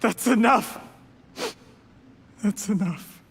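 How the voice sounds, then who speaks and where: An actor playing an ambitious young schemer in a video game, with formal, obsequious politeness and self-justifying smoothness. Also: male voice, high voice, very slow, big-room sound, slight background noise, full character voice. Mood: distraught. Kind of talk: dramatic video game voice acting.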